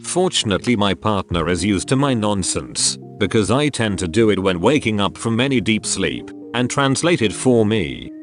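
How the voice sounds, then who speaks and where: A man's voice: on the low side, lively intonation, normal speed, hardly any pauses. A man reading out forum stories as narration over background music. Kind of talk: read-aloud narration.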